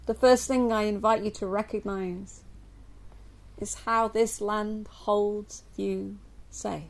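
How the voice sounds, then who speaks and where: A woman from England leading a guided yoga nidra meditation for a group, speaking gently and slowly with long pauses. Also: medium pitch. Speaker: yoga nidra teacher guiding a relaxation. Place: live group session at a camp. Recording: steady ambient noise, live recording.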